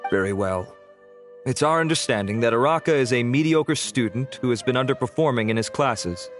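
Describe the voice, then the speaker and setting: An actor playing a measured, formal investigator who reports findings politely, in a game scene with faint music. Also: male voice, mid-range voice, lively intonation, close to an everyday voice.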